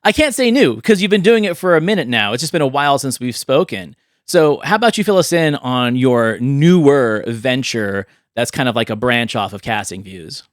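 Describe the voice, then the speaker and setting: A man's voice: mid-range voice, expressive, normal speed, dry recording, studio quality. A man hosting a podcast in a casual, chatty way, explaining things conversationally as he goes. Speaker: podcast host.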